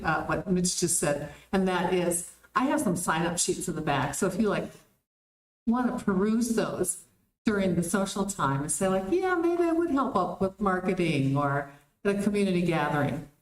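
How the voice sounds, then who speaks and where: A woman organizer making announcements and introducing a guest speaker, informal with frequent ums. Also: on the low side. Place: community meeting hall. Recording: roomy hall.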